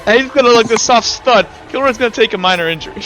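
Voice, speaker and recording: A man's voice: extremely high pitch, quick pace, a bit bright, poor audio. A man narrating a tabletop roleplaying game as game master, improvising in a casual, profane, amused way. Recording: faint music behind the voice. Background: faint music.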